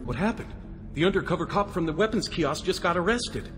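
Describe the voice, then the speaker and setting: Gullible male fanboy, highish voice, eager, excitable, game dialogue, long reverb. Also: brisk, faint music behind. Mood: surprised. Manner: excitable, credulous.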